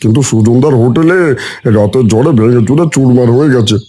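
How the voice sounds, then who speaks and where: A man plays an anxious village man in a cartoon, hurrying to report bad news. His voice is mellow and darkish, with a mid pitch. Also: brisk, natural voice. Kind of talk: Bengali cartoon dubbing.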